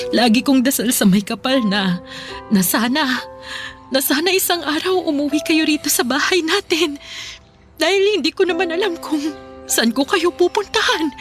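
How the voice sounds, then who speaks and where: A high, tearful voice of a poor Filipino mother, acted by a woman in a radio drama. She pleads with remorse, halting and repeating her words, over soft background music. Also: natural voice.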